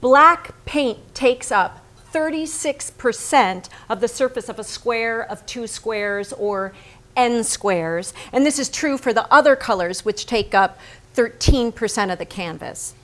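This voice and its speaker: High-voiced, cerebral, unflinching painter's wife. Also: female voice, a bit bright. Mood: analytical. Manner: precise, measured, emphatic. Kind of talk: theatrical staged reading. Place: staged reading in a barn venue.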